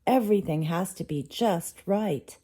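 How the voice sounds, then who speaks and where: Woman playing a young storybook mermaid princess, dreamy, excitable, coaxing, lifted pitch. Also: measured pace, husky. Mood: eager, coaxing. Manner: gushing, coaxing.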